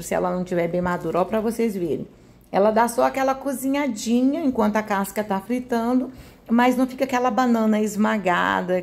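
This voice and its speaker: A woman's voice: mid-range voice, a little mellow. A Brazilian woman giving a casual recipe voice-over in a chatty, homely way, often adding little tag questions to check agreement. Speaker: home cooking video host.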